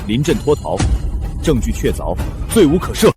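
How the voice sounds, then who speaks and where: An actor playing a stern princely commander in a costume short drama, formal and authoritative. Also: male voice, medium pitch, wildly swooping pitch, normal speed. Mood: cold, condemning. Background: faint dramatic music.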